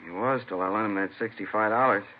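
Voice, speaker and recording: A defensive, aggrieved cab driver played by a man in a vintage police radio show. He talks rapidly. The recording is thin and tinny with faint hiss. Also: fairly low pitch, natural voice. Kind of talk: 1950s radio drama acting.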